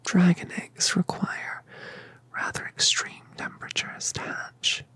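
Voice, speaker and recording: A woman voicing a colossal, tender mother dragoness in a whispered ASMR roleplay, speaking softly and soothingly. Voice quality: breathy whisper. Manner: soft, slow, soothing.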